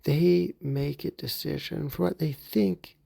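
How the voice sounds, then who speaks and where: A man leading a guided mindfulness meditation for a therapy group, speaking calmly and gently and sometimes dropping into soft whispers. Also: on the high side, creaky, husky. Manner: calm, gentle, unhurried, slips into soft whispers.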